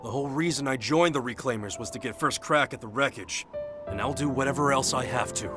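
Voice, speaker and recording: A man's voice: mid-range voice, brisk, close to an everyday voice. A man playing a wistful American soldier over music. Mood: fond, determined. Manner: colloquial, wistful, plain-spoken. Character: earnest rough-edged soldier devoted to his missing partner. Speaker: voice actor in a game cutscene. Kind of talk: game cutscene acting.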